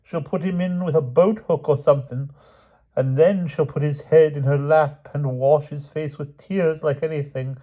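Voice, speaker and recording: A man's voice: a little high, fairly even intonation, normal speed, lo-fi sound, pitched above the performer's own voice, lightly acted. A man voicing a dithering, lovesick old king who is fretful and self-pitying, with flustered, whining speech. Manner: flustered, whining, trailing "what?".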